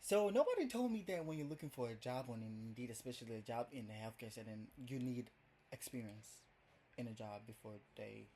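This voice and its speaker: A man's voice: mid-range voice, animated, a little fast, mellow, a little hiss or hum. A young man from Ghana with a Ghanaian accent, rambling casually in a first video blog, full of "like" and "um". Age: young adult. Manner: chatty, rambling, heavy on fillers. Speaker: vlogger. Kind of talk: casual first vlog to camera.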